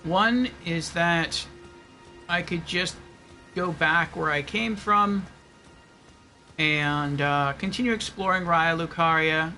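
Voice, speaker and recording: A man's voice: a little high, takes it slowly, pauses often, sharp tone, soft background music. A man giving casual livestream game commentary, thinking aloud and reasoning things out step by step.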